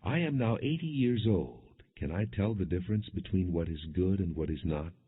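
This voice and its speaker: A man's voice: deep voice, animated, normal speed, breathy edge. A man reading the words of a humble, weary, deferential man of eighty in a scripture audiobook. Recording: dull, muffled lo-fi audio.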